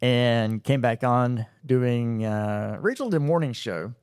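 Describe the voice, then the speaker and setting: A middle-aged man who hosts a radio morning show talks at an easy, even pitch and takes his time over a long career story, with plenty of "uh"s along the way. The room is dry and close-miked. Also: measured pace, clear, resonant tone, no room sound. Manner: relaxed storytelling with frequent fillers. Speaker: radio morning show host and operations manager. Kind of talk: casual interview chat.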